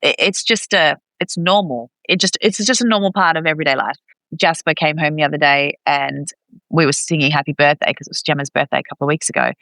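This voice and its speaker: Bright-voiced, chatty woman in close sound. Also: low-pitched, fast talker, no room sound. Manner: chatty, expressive, acts out reported dialogue. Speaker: podcast guest, expat parent. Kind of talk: casual conversational interview.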